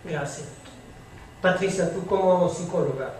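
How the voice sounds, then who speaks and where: A very echoey recording of a man chairing a panel debate, with faint noise behind him. He moderates in a halting way, often pausing mid-sentence. His voice sits high for a man. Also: darkish tone. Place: public debate hall with audience. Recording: very echoey hall, distant mic, faint noise.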